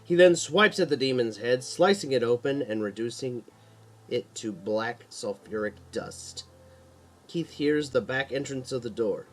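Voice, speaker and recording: A man's voice: medium pitch, animated, unhurried, slight background noise. A man reading a scripted action horror story as narrator, sometimes stumbling over words and repeating them.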